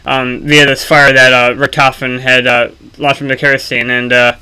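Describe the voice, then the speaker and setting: A man giving casual, unscripted gaming commentary for a video, speaking haltingly with frequent ums and pauses. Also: medium pitch, flat delivery, sharp tone, clear, resonant tone, poor audio.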